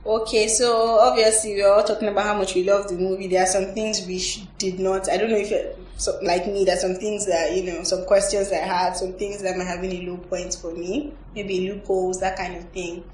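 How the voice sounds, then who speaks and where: A woman's voice: mid-range voice, flat delivery, a little fast, hardly any pauses, a little mellow. Woman hosting from an echoey room. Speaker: host and reviewer on a panel. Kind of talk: casual film review discussion. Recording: echoey room, distant mic, faint noise.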